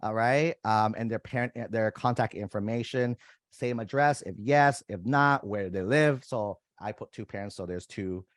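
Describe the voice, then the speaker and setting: A man's voice: fairly low pitch, normal speed, no echo, studio quality. A young adult man coaching students through an application form in a casual, conversational way, speaking directly to his viewers. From United States. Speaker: college admissions coach. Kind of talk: screen-share walkthrough of an application form.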